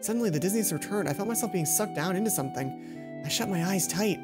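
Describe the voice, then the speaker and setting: A young man hosts a let's play with game music underneath. He reads visual novel narration aloud and cuts in with expressive, dramatic reactions of his own. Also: high-pitched, fast talker. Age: young adult.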